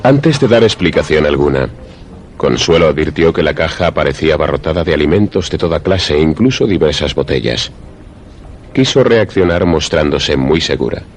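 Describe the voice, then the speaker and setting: Very deep male suspense narrator. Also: lively intonation. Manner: measured, literary storytelling. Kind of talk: scripted suspense radio drama narration. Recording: prominent music behind the voice. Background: music.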